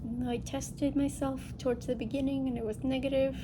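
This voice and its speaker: A woman's voice: high voice, little pitch movement, fairly quick. A woman with a congested, nasal voice from a lingering illness, chatting casually and a little ramblingly to viewers as she hosts a craft show-and-tell video. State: getting over a respiratory illness. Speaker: host of a cross-stitch video channel. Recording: noticeable background noise.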